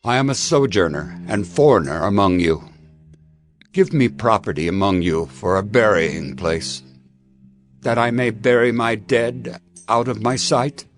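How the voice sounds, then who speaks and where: An actor playing an aged, devout patriarch in a dramatized scripture recording, with a creaky voice and solemn, earnest delivery. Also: male voice, fairly low pitch, faint music behind, lightly acted. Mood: earnest, courteous. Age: older. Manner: slow, weighty, pleading delivery. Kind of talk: dramatized scripture audio acting.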